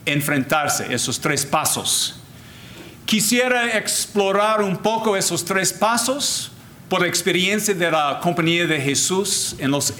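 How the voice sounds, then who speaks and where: An older man, a Jesuit priest whose first language is English, giving a deliberate, formal talk with frequent restarts. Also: a little high, bright, forceful tone, a little hiss or hum. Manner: deliberate, repeats and restarts words, switches to English phrases. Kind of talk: slow formal lecture.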